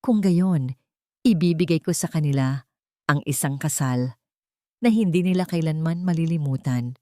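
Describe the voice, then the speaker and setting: Cold, ironic wealthy heroine out for revenge, read by a female narrator, radio-drama style, lowish voice. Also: warm tone, no room sound, studio quality, lightly acted. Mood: cold, vengeful. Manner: ironic, measured. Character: cold, vengeful wealthy woman designer, determined.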